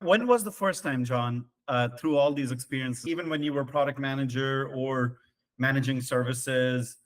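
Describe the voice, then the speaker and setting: Enthusiastic guy hosting a podcast, mid pitch voice. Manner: upbeat, enthusiastic. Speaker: podcast host. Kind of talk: podcast interview.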